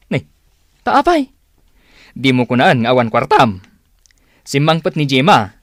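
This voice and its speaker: An actor playing a pushy, teasing gambling buddy in a radio drama, goading and exclamatory. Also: male voice, mid-range voice, wildly swooping pitch, measured pace, no echo, clean studio sound. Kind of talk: Ilocano radio drama acting.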